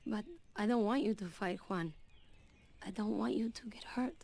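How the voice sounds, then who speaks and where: A woman's voice: medium pitch, normal speed, creaky, dark tone. A woman playing a defensive, pleading young woman in a Western video game, speaking earnestly. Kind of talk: dramatic video game cutscene acting.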